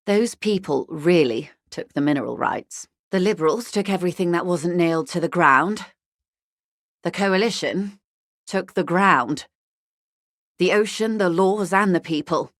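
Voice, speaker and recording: An actress playing a worldly, genteel corporate negotiator in a video game, speaking in a dry, ironic, measured and articulate way. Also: female voice, lowish voice, dry recording, lightly acted. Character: worldly, wry corporate negotiator woman, coolly detached and genteel. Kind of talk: scripted video game dialogue.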